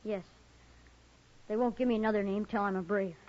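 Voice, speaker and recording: A woman's voice: mid-range voice, fairly even intonation, fairly quick, a little mellow, natural voice. A woman playing a proud, wary, stoic captive boy in an old time western radio drama. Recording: muffled old radio recording.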